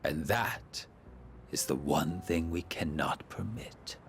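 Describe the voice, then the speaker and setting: A man playing a courteous, condescending villain with formal, measured menace in an anime game. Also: very deep voice, over music, full character voice. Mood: grave, menacing. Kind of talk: anime game dub acting.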